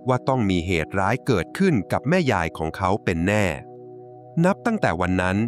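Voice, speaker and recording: Male storyteller, low voice, very lively intonation, dramatic suspenseful folk tale narration, music underneath. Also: brisk. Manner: expressive, suspenseful.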